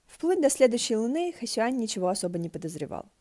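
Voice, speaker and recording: Женщина-чтец с тёмным, мягким тембром. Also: female voice, fairly high pitch, big pitch swings, quick pace, mellow. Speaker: audiobook narrator. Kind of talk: scripted fiction narration.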